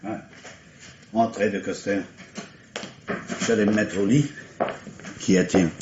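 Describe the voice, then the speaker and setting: An actor playing an anguished, fearful father in an old-fashioned French radio mystery, with a halting, dramatic delivery. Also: lowish voice, steady tone. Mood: subdued. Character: anguished father named Griffin, fearful for his daughter. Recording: dull, noisy old radio recording.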